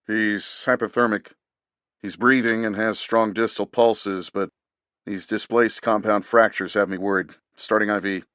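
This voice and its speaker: A man's voice: fairly low pitch, little pitch movement, a little slow, fairly bright tone, no room sound. Male narrator as a terse clinical medic, dry close mic. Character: focused male combat medic.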